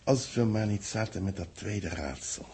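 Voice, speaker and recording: A man acting a worried official in a 1960s Dutch radio play, speaking in a measured, formal way with a gravelly, husky voice. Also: on the low side, lively intonation, a little mellow. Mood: grave, pondering. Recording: muffled-edged vintage 1960s radio recording.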